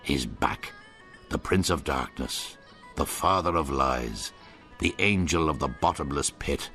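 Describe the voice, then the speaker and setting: A man's voice: extremely low pitch, animated, measured pace, pushed and bright, soft background music. A man narrating in the first person as a piper in a radio drama, in a wry, dry storytelling manner.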